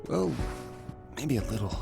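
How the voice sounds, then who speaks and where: A man's voice: mid-range voice, very animated, measured pace, vocal fry, music in the background. An actor voicing a hesitant, stammering young man who turns earnest, in a game cutscene. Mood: nervous. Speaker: game character.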